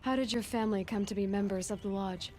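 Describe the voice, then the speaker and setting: Give me a woman acting as a young huntress raised an outcast, in a video game drama. She sounds earnest, curious and sympathetic in a medium-pitched voice, over background music. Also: fast, a little mellow, lightly acted. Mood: gentle, curious. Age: young adult. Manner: earnest, questioning.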